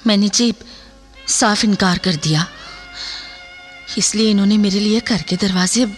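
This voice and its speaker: An actress playing a steadfast Sikh soldier's wife in a Hindi radio play, speaking respectfully but firmly. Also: female voice, mid-range voice, unhurried, faint music behind, lightly acted. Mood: firm, aggrieved.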